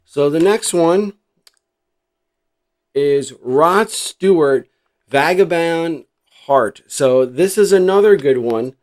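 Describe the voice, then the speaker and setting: A man's voice: on the high side, lively intonation, slow, pauses often, a little mellow. A middle-aged American man from New Jersey talking casually and reflectively as a vlog host, rambling and thinking aloud without a script. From New Jersey, United States. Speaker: host showing a cassette collection. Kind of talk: casual unscripted vlog chat.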